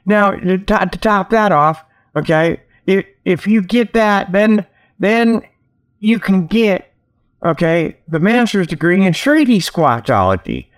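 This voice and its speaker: A man hosting a comedy show, giving a rambling, folksy monologue full of mock sales pitches. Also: expressive, slow. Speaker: host of a comedy talk show.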